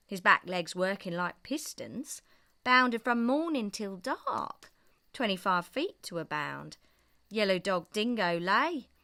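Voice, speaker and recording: A woman's voice: mid-range voice, sharp tone. A woman reading a children's story aloud in a theatrical, rhythmic sing-song way.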